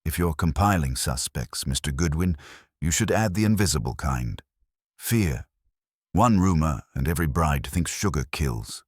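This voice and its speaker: Sharp, opinionated florist woman voiced by a man, low. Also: expressive, moderate pace, slightly rough, no echo, clean studio sound, exaggerated voice. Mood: arch. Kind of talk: audiobook character dialogue.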